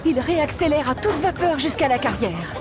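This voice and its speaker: High-voiced woman narrating a children's story. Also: big pitch swings, moderate pace, over music.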